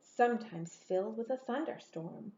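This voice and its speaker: A woman reading a children's picture book aloud in an expressive, theatrical way with drawn-out phrasing. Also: a little high. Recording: roomy, echoey, dull lo-fi sound.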